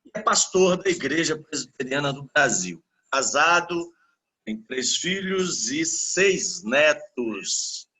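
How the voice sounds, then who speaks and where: A man's voice: on the high side, lively intonation, takes it slowly, pushed and bright, slightly rough, rough recording. A man hosting an online talk, introducing a guest in a friendly, colloquial way. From Salvador, Brazil. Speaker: host, pastor introducing a guest lecturer. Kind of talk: informal spoken introduction to an online lecture.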